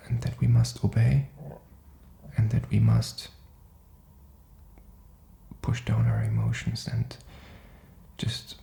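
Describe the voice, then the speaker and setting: Whispered male voice, strong creaky fry, hesitant reflective rambling, ASMR style, roomy echo. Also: fairly low pitch, big pitch swings, slow pace, pauses often, warm tone. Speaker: ASMR content creator.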